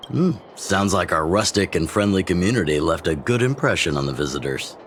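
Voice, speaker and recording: A deep-voiced, genial older chief. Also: male voice, expressive, brisk, fairly bright tone, slight background noise. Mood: teasing. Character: older male underground chief, genial, teasing mentor. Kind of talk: video game dialogue acting.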